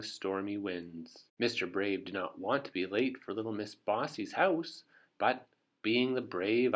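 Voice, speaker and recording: A man reading a children's story aloud as an expressive narrator. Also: lowish voice. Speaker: storybook reader.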